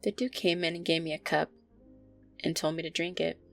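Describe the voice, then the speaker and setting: A woman acts out an affectionate vampire girlfriend in an intimate audio roleplay. Her voice sits fairly low with plenty of fry, and she speaks in a hesitant, conversational way, easily flustered. Music plays softly behind her. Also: steady tone, fairly quick. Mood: earnest, reminiscing. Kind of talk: intimate audio roleplay, first-person storytelling to a listener.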